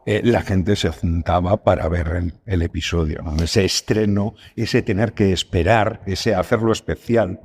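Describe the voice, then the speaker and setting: A deep-voiced man with a Spanish accent from Spain talks as a guest in a casual interview. He rambles as he explains things and pokes fun at himself. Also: fairly even intonation, a little slow, hardly any pauses, a little mellow, slightly rough. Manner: rambling, self-deprecating. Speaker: podcast guest, writer and creator of audio fiction.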